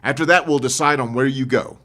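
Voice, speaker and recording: A man's voice: mid-range voice, fairly quick, sharp tone, pitched above the performer's own voice, lightly acted. A man voicing a hard-bitten, impatient male survivor in an audiobook horror story, blunt and commanding. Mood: angry, commanding.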